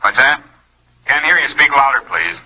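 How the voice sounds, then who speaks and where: A man's voice: medium pitch, moderate pace, bright, forceful tone, poor audio. An actor playing a curt, official male police switchboard operator over a telephone in an old radio drama.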